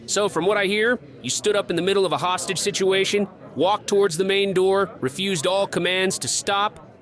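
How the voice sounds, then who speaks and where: Man playing high-voiced, wry security chief. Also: fairly bright tone. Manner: dry, methodical.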